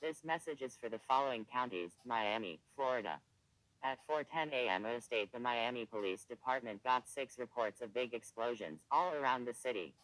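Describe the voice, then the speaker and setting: A man's voice: mid-range voice, lively intonation, moderate pace, a bit bright, no room sound, rough recording. A synthetic male voice reading a formal, scripted emergency broadcast announcement as an alert announcer.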